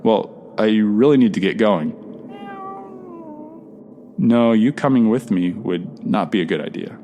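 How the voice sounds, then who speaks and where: A man's voice: lowish voice, expressive, a little slow, warm tone, slight background noise. A man playing a polite, awed traveler, speaking conversationally and a little hesitantly in an audio drama. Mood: bemused, friendly. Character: polite, awed male traveler between mysterious doors.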